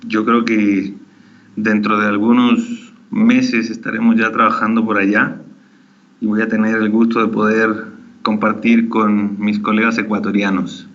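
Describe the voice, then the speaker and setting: A Mexican man in his forties with a slightly mixed Mexican and Chilean accent, a veterinarian opening an online lecture in a friendly, halting way with many fillers. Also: lowish voice, a bit bright, muffled recording. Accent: Mexican with some Chilean. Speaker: veterinarian giving a webinar talk.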